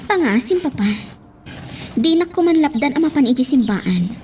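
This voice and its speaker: Earnest, high-voiced young daughter. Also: female voice, animated, moderate pace, a little mellow, a little hiss or hum. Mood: apologetic pleading. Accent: Filipino. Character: caring, respectful young daughter pleading with father. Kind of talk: Filipino radio drama acting.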